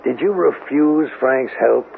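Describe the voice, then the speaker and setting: Gravelly, skeptical American police lieutenant. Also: male voice, mid-range voice, slow pace. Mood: probing. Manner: probing, matter-of-fact. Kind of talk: 1970s American radio drama acting. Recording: narrow, hissy old radio recording.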